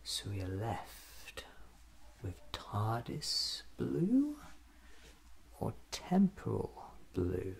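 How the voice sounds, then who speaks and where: Very slow, hushed man in ASMR roleplay. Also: fairly low pitch, huge pitch swings. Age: 30s. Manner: hushed, slipping into whispers, deliberate, musing. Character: eccentric, fussy time-travelling doctor talking to himself. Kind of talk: ASMR roleplay, soft-spoken monologue. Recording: clearly audible background noise.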